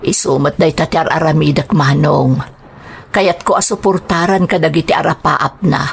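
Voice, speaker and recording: A woman's voice: sits low, steady tone, normal speed, creaky, breathy edge, full character voice. A woman playing a humble, loving mother in a radio drama, earnest and plaintive, with an Ilocano accent. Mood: worried. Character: humble, grateful middle-aged mother, worried and loving.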